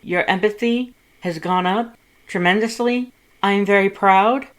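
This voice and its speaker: A man's voice: high voice, unhurried, a bit bright, clear, resonant tone, pitched above the performer's own voice. A man voicing a pompous, dramatic male skeleton with a grandiose, formal, over-enunciated way of talking. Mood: earnest, scolding.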